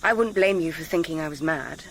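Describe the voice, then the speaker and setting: A woman's voice: low-pitched, a little hiss or hum, poor audio. An actress playing a confused, hurt and defiant young woman in a radio drama, emotional and earnest. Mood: guarded, confused. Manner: emotional, earnest, quick to flare.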